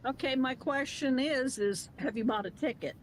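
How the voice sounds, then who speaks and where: A woman hosting a live craft stream, reading viewer comments aloud in a brisk, casual way. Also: high-pitched. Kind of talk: casual chat.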